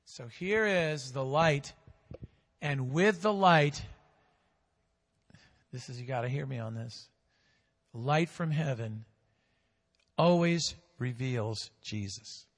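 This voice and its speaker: A male pastor preaching a sermon with call-and-response, swinging between loud and hushed, drawing out key phrases slowly. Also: mid-range voice, expressive, in a large hall.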